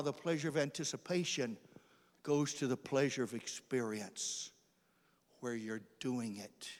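A male preacher with a notably high voice, heard in a large hall with long reverb. He delivers his sermon as storytelling, with dramatic emphasis and phrases repeated for effect. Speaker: missionary preacher.

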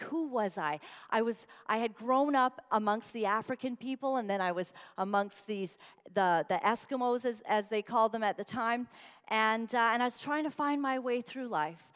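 A woman's voice: a little high, clear, resonant tone, in a large hall. A middle-aged woman, raised in Uganda, telling her life story as a guest speaker in a church, with humorous chatty asides. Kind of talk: personal testimony sermon. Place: large church.

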